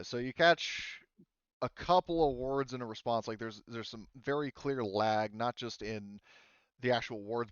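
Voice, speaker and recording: Low male voice, smooth, game master, halting improvised narration, slightly muffled audio. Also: no echo. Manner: halting, hesitant, casual delivery.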